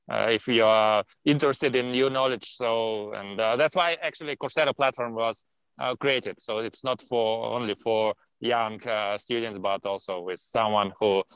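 A man's voice: mid-range voice, fairly bright tone, clear, resonant tone, dry recording, highs cut off. A man representing the program who answers a question over a video call, explaining with frequent fillers. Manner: friendly, filler-heavy explanation. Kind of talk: webinar Q&A answer.

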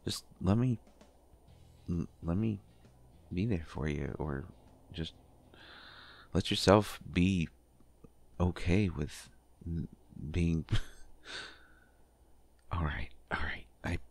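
Casting: male actor for affectionate, bashful boyfriend in audio roleplay; very low voice, halting and unhurried delivery. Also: vocal fry, full character voice. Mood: tender, halting. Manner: halting, stammering, gently teasing. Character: affectionate, bashful man comforting his pregnant partner. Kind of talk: intimate roleplay audio acting, one side of a conversation.

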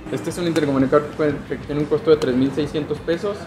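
A man's voice: on the high side, steady tone, fast talker, music in the background. Casual Mexican salesman in echoey shop. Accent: Mexican Spanish. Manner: casual, many "este" fillers. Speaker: sales adviser. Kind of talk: product explanation. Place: motorcycle gear shop, indoors.

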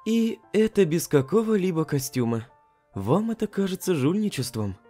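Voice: mid-range voice, big pitch swings, normal speed, dark tone, soft background music. A performer voicing a wry, chatty teenage goat-monster prince in a cartoon comic dub. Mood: teasing. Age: teenager as played. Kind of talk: fan comic dub acting.

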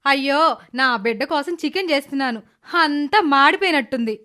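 A woman's voice: sits high, lively intonation, moderate pace, pushed and bright. A woman voicing a bitter, hot-tempered stepmother who scolds and complains in a dramatized moral story. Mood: upset, cursing. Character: bitter, hot-tempered stepmother, grudging housewife and doting mother.

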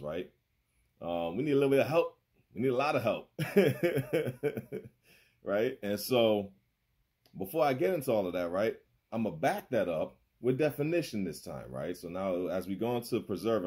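A man in his thirties gives a relaxed sermon into a phone in a small room. His voice sits very low with a husky edge, and he talks conversationally, checking in with "right?" after nearly every point. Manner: conversational, laughs often, keeps asking "right?". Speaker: preacher. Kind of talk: casual sermon over a video call. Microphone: phone held close.

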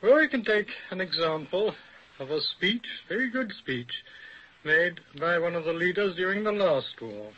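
Male academic, radio discussion. Higher-pitched, piercing, rough-edged delivery; articulate, measured, instructive; dull vintage recording. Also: animated, bright, forceful tone. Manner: deliberate, articulate, didactic. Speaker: academic expert guest, professor.

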